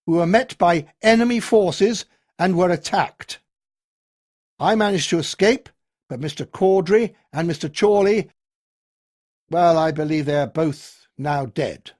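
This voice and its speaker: Man doing a commanding army colonel, clipped, authoritative, weary, husky, pitched up, storybook. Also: measured pace, a little mellow.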